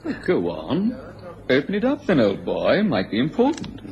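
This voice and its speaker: A man's voice: on the high side, wildly swooping pitch, normal speed, creaky, lo-fi sound, noisy background, heavily acted. An actor playing a taunting upper-class businessman in a radio drama, with a sardonic, goading manner. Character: sneering, taunting upper-class English businessman, a bitter rival.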